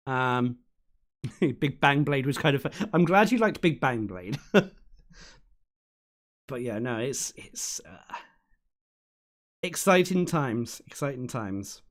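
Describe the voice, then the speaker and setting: A man's voice: a little high, sing-song, slow pace, long pauses. A man streaming, losing his voice, chatting casually with lots of fillers as he reacts to a game showcase. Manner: rambling, chatty, with filler words and laughs. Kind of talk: casual live commentary. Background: showcase music playing.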